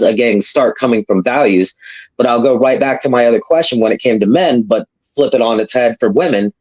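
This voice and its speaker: A man's voice: mid-range voice, darkish tone, slightly rough. Rambling man in his thirties on dull call audio. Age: 30s. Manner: loose, rambling, hedging with you know. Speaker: panel guest. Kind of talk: casual discussion.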